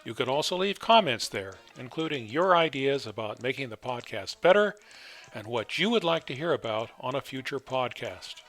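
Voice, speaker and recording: A man's voice: mid-range voice, animated, normal speed, a bit bright, faint music behind. A man speaking as the host of an interview podcast. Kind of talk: scripted introduction, then conversational interviewing.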